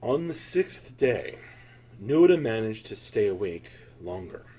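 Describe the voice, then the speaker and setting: A man's voice: lowish voice, very animated, unhurried, mellow, husky. A man narrating a scripted fantasy tale as a storyteller, in a solemn, theatrical manner.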